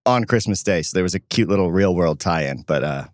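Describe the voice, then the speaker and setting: A man's voice: low voice, lively intonation, fairly quick, dry recording, studio quality. A man co-hosting a podcast, chatting casually in an informal, self-deprecating way. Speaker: podcast co-host and producer. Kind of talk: casual chat.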